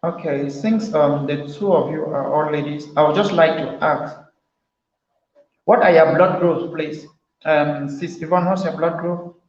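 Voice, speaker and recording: A man's voice: a little high, fairly even intonation, measured pace, darkish tone, roomy sound, highs cut off, a little hiss or hum. A man from Cameroon with a Cameroonian English accent, hosting a casual group call, asking questions and often repeating himself. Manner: chatty, asks guests questions, repeats himself. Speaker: host of an online health forum.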